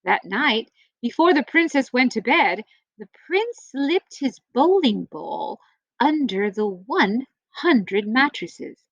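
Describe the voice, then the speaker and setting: Animated high-voiced woman reading aloud. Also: dry recording, muffled recording. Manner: playful, theatrical emphasis with drawn-out phrases. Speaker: teacher or school librarian reading aloud. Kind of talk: expressive read-aloud of a children's storybook.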